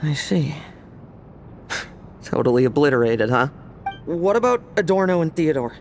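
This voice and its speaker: A flippant young warrior in a fantasy game, defiant and sardonic. His mid-pitched voice moves around a lot as he talks, casual and teasing, with faint music underneath. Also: expressive, normal speed. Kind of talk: video game voice acting.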